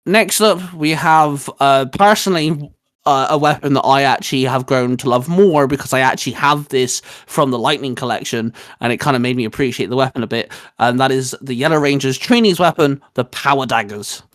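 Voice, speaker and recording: A man's voice: mid-range voice, brisk, a bit bright, no room sound, studio quality. A man hosting a casual review chat, talking like an opinionated, emphatic fan. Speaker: host.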